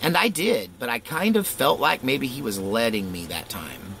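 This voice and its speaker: A middle-aged man casually telling a rambling personal story, animated and full of fillers and chuckles. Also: medium pitch, normal speed, creaky, a little hiss or hum.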